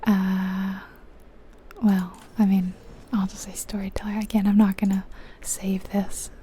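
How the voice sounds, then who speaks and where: A woman whispering gently and informally in a breathy, unhurried ASMR game commentary, close to the microphone. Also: mid-range voice, monotone. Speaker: ASMR gaming video host.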